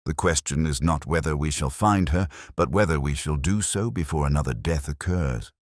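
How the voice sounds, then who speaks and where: A man voicing a keen, calm Victorian gentleman detective in a storybook, speaking formally with quiet authority. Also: rumbling low, moderate pace, no room sound, studio quality. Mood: grave, purposeful.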